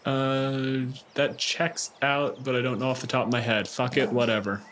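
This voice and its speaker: A man's voice: medium pitch, little pitch movement, normal speed, a bit bright. A man casually hosting and narrating a tabletop game as game master, irreverent and free with swearing.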